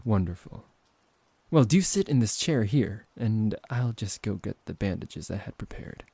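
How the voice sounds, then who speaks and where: A man acting out a smooth-talking, possessive vampire professor in an intimate ASMR roleplay, politely condescending and teasing, close to the microphone. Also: on the low side, expressive, unhurried, dark tone, no room sound, exaggerated voice. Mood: persuasive, polite. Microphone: close microphone, dry.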